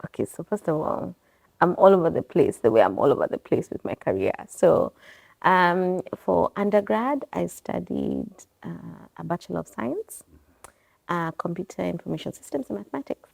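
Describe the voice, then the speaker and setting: A woman speaks in a slightly low voice with a gravelly fry running through it. She talks casually and reflectively in an interview, wandering between thoughts and correcting herself as she goes. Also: big pitch swings, normal speed, darkish tone. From Kenya. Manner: reflective, rambling, self-correcting. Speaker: guest, bookstore owner. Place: her bookstore and coffee bar.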